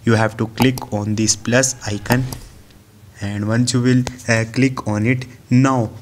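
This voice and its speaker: A man's voice: medium pitch, little pitch movement, measured pace, slight background noise. Man narrating a step-by-step tutorial. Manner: instructional, step-by-step. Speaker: tutorial host. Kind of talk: screen-recorded how-to tutorial narration.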